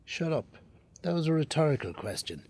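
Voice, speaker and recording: A man acting in an audio drama as a stern, scornful working father, hectoring and sarcastic in a husky voice. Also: fairly high pitch, very animated, normal speed, a little mellow. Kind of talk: naturalistic radio drama acting.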